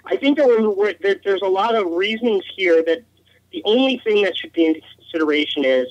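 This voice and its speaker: A man's voice: sits high, lively intonation, fairly quick, no echo, highs cut off. A man speaking as a podcast guest, arguing his opinions emphatically in a casual conversational style.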